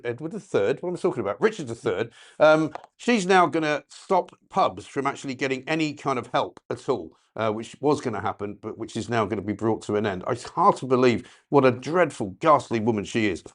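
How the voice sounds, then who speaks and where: Brisk sardonic talk show host. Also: male voice, mid-range voice. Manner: brisk, chatty, sardonic asides. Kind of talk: casual breakfast show chat. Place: London studio.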